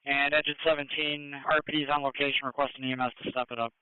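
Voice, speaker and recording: A monotone male dispatcher speaks over a narrow, phone-like radio channel. His voice is clean and dry, and he gives short emergency announcements without ornament. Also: medium pitch, fast, clear, resonant tone, no room sound. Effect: two-way radio. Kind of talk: terse scanner dispatch announcements. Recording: narrowband fire and EMS scanner feed.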